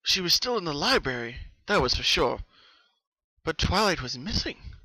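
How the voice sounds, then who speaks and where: A man narrating a story as a dramatic reading, with expressive, suspenseful delivery. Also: on the high side, normal speed, dry recording, rough recording. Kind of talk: dramatic fan fiction reading.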